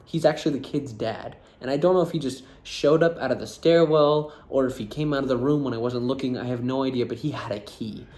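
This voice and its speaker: An American man in casual storytelling, animated and laughing as he acts out what people said. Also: mid-range voice, fairly quick, hardly any pauses. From United States. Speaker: vlogger.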